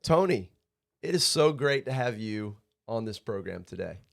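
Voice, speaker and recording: A man's voice: fairly low pitch, lively intonation, normal speed, gravelly. Upbeat chatty male podcast host. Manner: upbeat, chatty, laughs often. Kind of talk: casual surf podcast interview. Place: studio.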